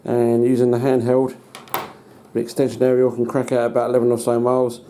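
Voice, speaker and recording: A British man giving a casual hands-on demonstration as a hobbyist, in a halting, matter-of-fact way. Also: medium pitch, completely flat delivery, dark tone. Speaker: hobbyist presenter. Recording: noticeable background noise.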